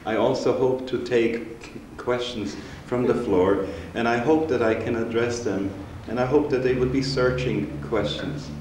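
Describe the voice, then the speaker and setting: A man in his sixties, raised in Austria, giving a measured, reflective public lecture as a rabbi from a stage. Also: on the low side, a little mellow. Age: 60s. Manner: measured, reflective, unhurried storytelling. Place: synagogue hall, seated on stage before an audience. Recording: roomy hall recording with faint hiss.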